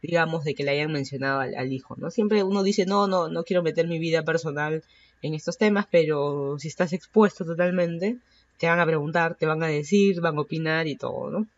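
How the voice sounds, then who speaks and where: A woman's voice: low-pitched, a little fast, no echo, dull audio. Rambling Peruvian woman podcaster, dry sound. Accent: Peruvian Spanish. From Peru. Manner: rambling, self-correcting, full of "este" fillers. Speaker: podcast host. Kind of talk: casual solo podcast monologue.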